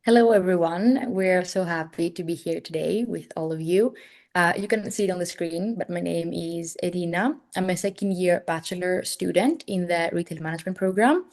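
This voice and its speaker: A young woman speaks over a video call. Her voice sits slightly low, and she sounds cheerful and welcoming as she introduces herself. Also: moderate pace, a little mellow. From Greece. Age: young adult. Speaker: student panelist.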